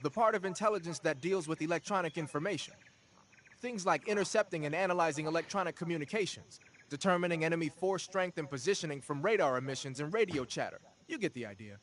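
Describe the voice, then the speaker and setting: An actor playing a talkative, streetwise tech and weapons expert in a video game, explaining things informally. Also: male voice, on the high side, fairly bright tone, natural voice.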